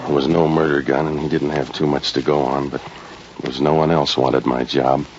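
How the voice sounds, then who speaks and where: An actor playing a cynical, world-weary private detective in 1940s radio noir style, deadpan and sardonic. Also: male voice, a bass voice, brisk, music in the background. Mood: wry, brooding. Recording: muffled, hissy 1940s radio recording.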